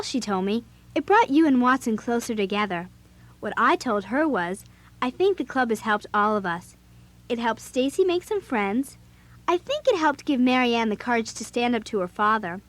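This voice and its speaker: A woman playing an earnest, bossy young girl babysitter in an audio drama, with an expressive, youthful way of talking. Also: fairly high pitch, normal speed. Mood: proud, reflective. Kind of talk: audio drama acting and first-person narration.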